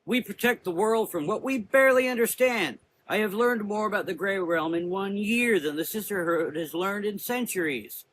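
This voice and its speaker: A proud warrior sister acted out by a man stands her ground in a gothic audio drama. Her voice is raised high and sharp, earnest and defiant. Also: moderate pace, few pauses, slight background noise, rough recording.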